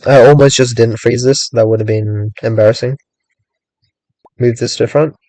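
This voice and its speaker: A young man talks through his game in a loose, meandering way, filling gaps with "like" and half-finished thoughts. His voice is a touch raspy after long talking and sits on the mellow, darker side. The sound is dry and close, with no room around it. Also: medium pitch, fairly even intonation, normal speed, no room sound, low-quality recording. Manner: rambling, thinks aloud, many "like"s and hedges. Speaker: gaming streamer. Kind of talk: casual gameplay commentary.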